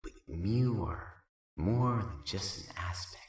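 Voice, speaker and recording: An echoey, slightly distant recording of a concerned friend, acted by a man in an audio drama. The voice is low and unhurried, casual as it soothes and gently chides. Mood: concerned, chiding. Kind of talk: fan fiction audio drama acting. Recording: echoey room, distant from mic.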